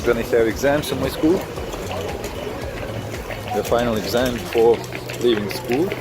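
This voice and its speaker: Lithuanian man talking over loud music. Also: medium pitch. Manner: halting, searching for words, with repeated restarts. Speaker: vlogger. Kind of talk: casual personal storytelling.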